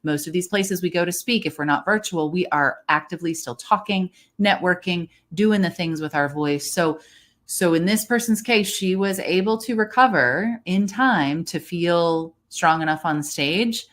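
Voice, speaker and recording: A woman's voice: on the low side, normal speed, a bit bright. A woman speaking as a voice coach, giving encouraging, explanatory advice in a conversational way. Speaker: voice coach, guest expert. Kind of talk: conversational expert advice in a livestream.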